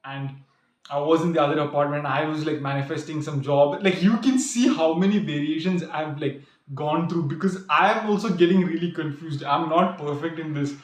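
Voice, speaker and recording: An earnest young man talks straight to the camera in a loose, rambling way, stacking "like" and "literally" as he goes. His voice sits a bit high for a man. The sound comes from a small room with a noticeable echo. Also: steady tone, moderate pace. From grew up in the UAE. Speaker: vlogger. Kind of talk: casual vlog monologue. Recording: echoey room.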